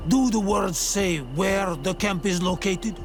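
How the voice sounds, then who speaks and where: An actor playing an earnest, cautious native islander in a video game, with simple, careful phrasing. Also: male voice, high voice, takes it slowly, over music. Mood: earnest, urgent.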